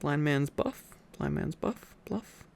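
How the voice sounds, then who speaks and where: A man's voice: a little high, expressive, slow pace, creaky, a little mellow. A sleepy man doing an intimate ASMR boyfriend-style reading, tired and affectionate, with playful asides to his listener. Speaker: ASMR boyfriend roleplay storyteller.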